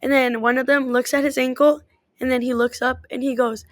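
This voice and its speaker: A woman's voice: sits high, little pitch movement, a little fast, dry recording. A woman whose first language is Spanish, an athletic trainer answering interview questions in an earnest, upbeat way.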